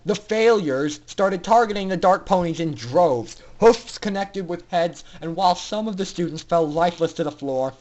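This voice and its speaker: High-voiced man, dramatic story narrator. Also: a little mellow, poor audio. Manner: theatrical, intense. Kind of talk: dramatic fan fiction narration.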